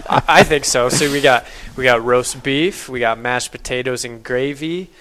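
A man's voice: medium pitch, moderate pace, a bit bright, a little hiss or hum. An American man chatting casually on air as a radio host.

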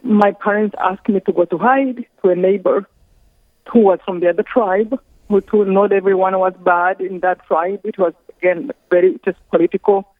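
A woman from Rwanda, speaking slowly and earnestly as a guest on a phone interview, often pausing mid-thought. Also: medium pitch, fairly even intonation, no echo. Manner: slow, earnest, halting with careful pauses. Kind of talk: phone interview, personal testimony. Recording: tinny phone line.

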